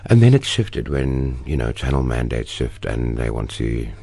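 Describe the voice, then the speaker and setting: A man's voice: extremely low pitch, moderate pace, creaky, dry recording. A middle-aged South African man, an actor, telling stories expressively in an interview. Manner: expressive, storytelling, animated bursts. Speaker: actor, guest. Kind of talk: interview conversation.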